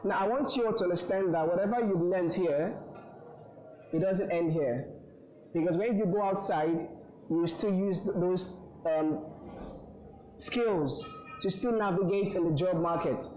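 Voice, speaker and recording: An earnest young man gives an encouraging speech to a room of graduates. His voice sits a little high and rings around a reverberant hall. He searches for words, with fillers and quick repeats along the way. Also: darkish tone, a little hiss or hum. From Ghana. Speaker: invited speaker. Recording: echoey room.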